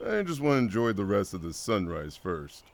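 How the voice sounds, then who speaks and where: A man's voice: on the low side, very animated, moderate pace, a little hiss or hum. A man voicing a wry, drowsy, laid-back skeleton brother in a cartoon comic dub, with a casual, joking drawl. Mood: relaxed.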